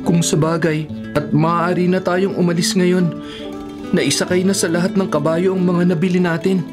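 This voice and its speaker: A young Filipino man, the anxious baby brother of a family played by a male radio actor. His voice is high and boyish, with guitar-like music clearly behind it. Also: little pitch movement, moderate pace, close to an everyday voice. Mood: uneasy. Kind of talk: Filipino radio drama acting.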